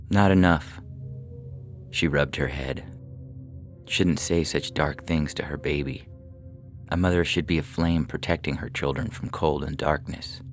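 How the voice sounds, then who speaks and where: A man reading scripted short fiction as a narrator, in a measured, dramatic, literary manner. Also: rumbling low. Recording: music behind the voice.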